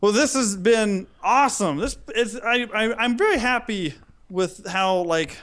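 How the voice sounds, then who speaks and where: A man's voice: high-pitched, measured pace, fairly bright tone. A man co-hosting a podcast in casual, jokey chat, with a goofy, exaggerating way of talking. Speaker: podcast co-host.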